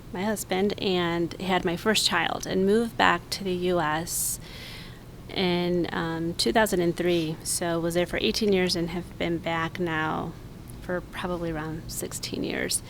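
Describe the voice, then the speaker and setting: A woman in her forties, a podcast guest, talking in an earnest, reflective way with many pauses. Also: on the low side, steady tone, creaky, slight background noise, poor audio. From United States, raised in Mexico. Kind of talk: casual conversation.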